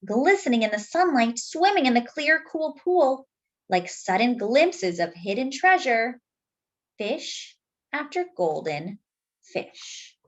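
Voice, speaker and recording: Female children's story reader, high voice, highly animated melody, deliberate phrasing with conversational asides. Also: dull audio. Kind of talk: expressive picture book read-aloud.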